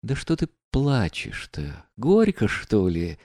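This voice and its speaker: A man's voice: fairly low pitch, wildly swooping pitch, takes it slowly, a little mellow, dry recording, clean studio sound, exaggerated voice. A man reading aloud and voicing a proud, reckless young woman who speaks in a bitter, mocking, defiant way.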